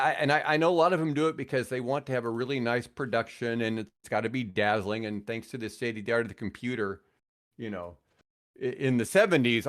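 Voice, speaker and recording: Male, sixties, American, average pitch. Podcast guest and radio type, opinionated, loose conversational storytelling. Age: 60s. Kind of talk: casual chat, rambling storytelling.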